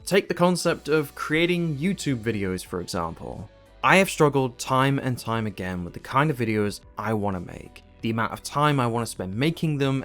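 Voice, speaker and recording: A man narrating a scripted video essay in an earnest, emphatic and casual way, as the host of his channel. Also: medium pitch.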